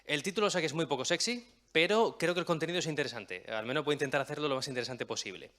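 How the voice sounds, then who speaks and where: Young guy from murcia talking fast at a public talk, chatty and explaining stuff. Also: fairly high pitch, animated, pushed and bright. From Murcia, Spain. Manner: chatty, explanatory, self-deprecating asides. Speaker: guest speaker.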